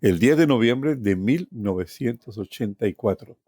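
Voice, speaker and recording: A man's voice: mid-range voice, lively intonation, normal speed, dark tone, breathy edge, no room sound, clean studio sound. A man from Colombia with a Colombian accent, telling his own life story as a guest. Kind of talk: personal storytelling.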